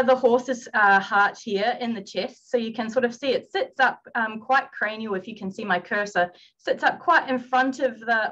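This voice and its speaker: A woman in her forties from New Zealand with a New Zealand accent, giving an online veterinary seminar in a relaxed way with many fillers and restarts. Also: mid-range voice, dull audio. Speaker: veterinary lecturer.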